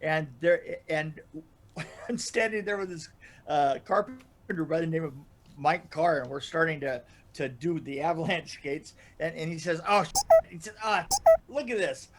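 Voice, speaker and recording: An older man telling anecdotes casually in a rambling, halting way, chuckling as he goes. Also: on the high side, expressive, noisy background. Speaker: podcast storyteller guest. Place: indoor room on a video call.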